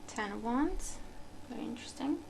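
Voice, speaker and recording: A woman's voice: a little high, animated, normal speed, creaky, a little hiss or hum, rough recording. A woman from Romania, a tarot reader who muses aloud while unboxing a deck at her table. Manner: musing, thinking aloud with fillers and restarts. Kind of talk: casual unboxing commentary. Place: home room at a table.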